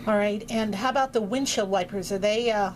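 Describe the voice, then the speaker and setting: A woman's voice: mid-range voice, fairly quick, rough recording. A woman conducting an informal interview, asking questions in an attentive, appreciative manner.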